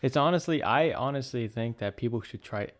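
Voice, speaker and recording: A man's voice: mid-range voice, a little fast. A man of twenty from Texas, co-hosting a podcast in a joking, chatty way. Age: 20s. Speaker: podcast co-host. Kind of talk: casual chat. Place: home in Texas.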